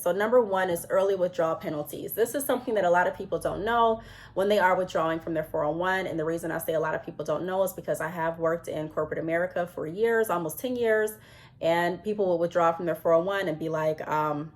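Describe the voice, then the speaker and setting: A woman in her thirties with a somewhat low voice, audibly congested and under the weather. She talks to camera in a loose, chatty way, full of ums, and reads from her notes now and then. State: congested, sick with a cold. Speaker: business vlogger. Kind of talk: casual advice talk to camera.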